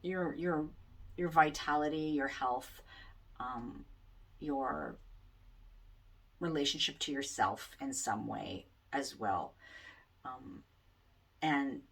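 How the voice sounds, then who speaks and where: A woman astrologer giving a casual forecast, rambling with many fillers, in a loud voice picked up by the camera mic. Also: a bass voice, slow pace, long pauses, slightly rough. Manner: rambling, many ums and you-knows. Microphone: camera mic, microphone not plugged in. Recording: distant sound from unplugged mic.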